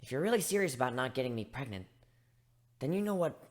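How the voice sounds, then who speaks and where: A man puts on a frank female griffin for a dramatic story reading. The pitch is mid-range. She talks bluntly and casually, teasing and persuading as she goes. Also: very animated, fast talker, lightly acted. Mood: frank, coaxing.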